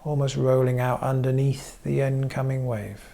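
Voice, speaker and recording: A man guiding a meditation as a therapist, giving gentle instruction in short, measured phrases with frequent pauses. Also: mid-range voice, flat delivery, vocal fry. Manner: measured, pausing often between short phrases. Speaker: therapist leading guided meditation.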